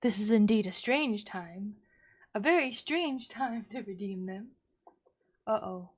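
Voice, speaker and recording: A woman's voice: mid-range voice, animated, unhurried, husky. A woman livestreamer chatting casually with her viewers in a bubbly, exclamatory, readily apologetic way. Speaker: live streamer. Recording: music behind the voice. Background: background music.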